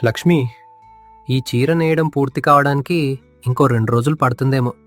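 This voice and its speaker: A male actor plays a poor, honest village weaver in an audio story. He is humble and earnest, with a weary, deferential way of talking at a medium pitch, over faint music. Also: normal speed, close to an everyday voice. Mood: weary, resigned. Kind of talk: audio moral story acting.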